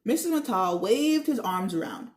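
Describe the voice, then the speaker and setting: A woman's voice: medium pitch, animated, moderate pace, darkish tone. A woman reading a children's book aloud expressively, with informal, teacherly asides. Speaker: read-aloud narrator.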